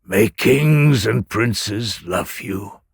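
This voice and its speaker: A man's voice: mid-range voice, sing-song, a little slow, gravelly, breathy edge, no room sound, clean studio sound, full character voice. A man voicing a doomed, wild-born hero of myth, brooding and bitter, in an intense, sorrowful manner. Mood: hushed blessing.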